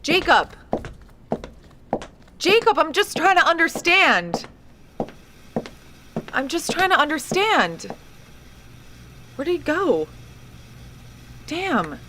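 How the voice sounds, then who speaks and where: A woman's voice: high voice, very animated, slow pace, long pauses, bright, forceful tone, exaggerated voice. An actress playing an earnest, persistent young researcher recording her findings in a horror audio drama. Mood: pleading. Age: young adult. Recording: noisy lo-fi tape field recording.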